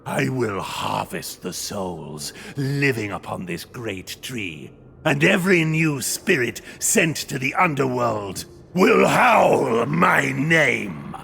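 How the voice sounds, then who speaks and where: An actor playing an arrogant undead ghost knight in a fantasy game, with a gravelly voice and grandiose, menacing proclamations. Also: male voice, mid-range voice, sing-song, slow pace, bright, forceful tone, slight background noise, full character voice. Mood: menacing triumph. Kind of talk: villain acting in a fantasy game cutscene.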